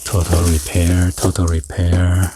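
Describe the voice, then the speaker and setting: A man giving halting, murmured ASMR commentary as he hosts an unboxing, with short item-by-item remarks. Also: sits low, monotone, noisy background. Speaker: unboxing video host.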